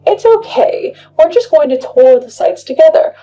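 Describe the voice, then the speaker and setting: A woman's voice: high-pitched, big pitch swings, moderate pace, dark tone, soft background music, pitched above the performer's own voice, low-quality recording. A woman voicing a pushy, sweetly insistent middle-aged mother-in-law who talks in a breezy, overbearing way.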